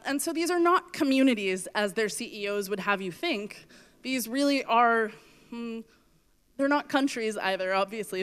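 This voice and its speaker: A woman giving a talk on stage with slides, earnest and measured, her voice going from coughing. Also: fairly high pitch, a bit bright, clear, resonant tone. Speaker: conference speaker. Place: stage in a hall.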